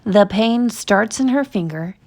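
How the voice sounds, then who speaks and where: A woman reading a children's picture book aloud in an expressive, deliberate storytelling manner, stretching words for emphasis. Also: mid-range voice. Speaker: storytime reader.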